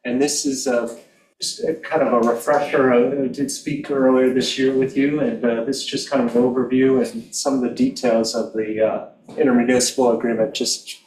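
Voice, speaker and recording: A man on planning commission staff giving a slide presentation in an even, measured way. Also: mid-range voice. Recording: echoey room from far off with faint noise.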